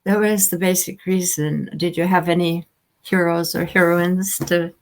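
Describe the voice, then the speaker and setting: A woman's voice: on the low side, steady tone, a little slow. Woman host asking rambling questions. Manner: rambling questions with restarts, frequent laughs. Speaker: interview host. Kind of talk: casual interview.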